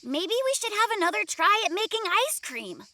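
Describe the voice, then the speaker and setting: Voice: extremely high pitch, very animated, brisk, bright, forceful tone, slight background noise, exaggerated voice. A performer voicing an earnest, gullible little polar bear cub in a children's cartoon, often questioning. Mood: puzzled, earnest. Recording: music behind the voice. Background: children's cartoon music.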